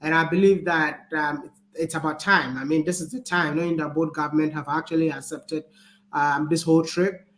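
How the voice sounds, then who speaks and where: A man's voice: a little high, fairly even intonation, moderate pace, a bit bright, a little hiss or hum. A man hosting a casual online chat, upbeat and laughing, with stammered phrasing. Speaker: host.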